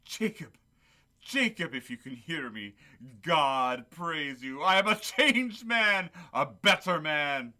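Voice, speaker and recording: A man's voice: fairly high pitch, lively intonation, slow pace, bright, forceful tone, heavily acted. A man playing a fervent, theatrical old miser in a radio play. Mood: giddy joy. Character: old miser Scrooge, pleading then giddily redeemed. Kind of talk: stage radio play acting.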